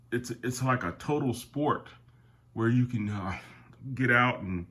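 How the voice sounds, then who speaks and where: A man's voice: mid-range voice, pushed and bright. An older man with a nasal voice from a summer cold, hosting his airgun collecting channel in a rambling casual talk to viewers. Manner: rambling, with many fillers and restarts, calls viewers "guys". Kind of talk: casual product talk to camera.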